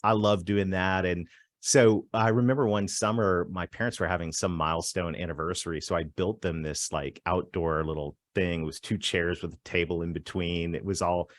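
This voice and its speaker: Canadian guy on a podcast, lowish voice, upbeat storyteller, dry close mic. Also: steady tone, moderate pace, no room sound. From southwestern Ontario, Canada. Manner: upbeat storyteller who laughs often. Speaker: guest, growth strategist. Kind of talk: casual podcast interview.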